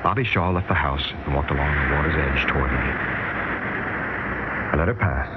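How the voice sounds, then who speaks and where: An American actor playing an urgent, probing radio news correspondent in a vintage radio drama. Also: male voice, rumbling low, brisk. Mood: grave, measured. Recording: noisy, muffled vintage radio recording.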